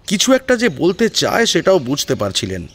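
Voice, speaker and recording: A man's voice: fairly high pitch, wildly swooping pitch, moderate pace, slight background noise. A man reading a scripted story as a dramatic narrator. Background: background music.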